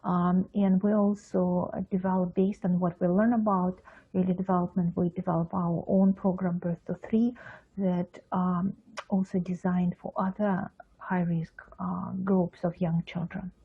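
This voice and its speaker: A woman's voice: on the low side, level tone, unhurried, slightly rough. A middle-aged woman from Russia with a Russian accent, a psychologist answering interview questions hesitantly, with many fillers, restarts and non-native grammar. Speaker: pediatric psychologist guest. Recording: phone-like lo-fi call audio.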